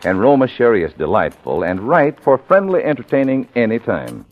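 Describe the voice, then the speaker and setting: A man's voice: medium pitch, huge pitch swings, moderate pace, narrow, tinny audio. An American man announcing in scripted 1940s radio style, a sponsor's spokesman with polished, emphatic salesmanship. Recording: old radio recording, faint music behind.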